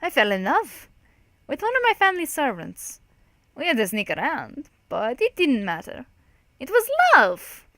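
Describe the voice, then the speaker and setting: A woman's voice: mid-range voice, normal speed, few pauses, no echo, natural voice. A woman voicing a rambling old drunken war veteran telling stories in an emphatic, meandering way. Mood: wistful, emphatic. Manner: odd cadence, emphatic, meandering storytelling.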